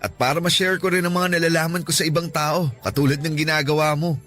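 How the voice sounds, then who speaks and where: A man's voice: on the high side, steady tone, quick pace, a bit bright, lightly acted. An actor with a Filipino accent playing a shy, earnest young man in a conversational radio drama. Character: shy, earnest young man, introverted first-time volunteer. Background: background music.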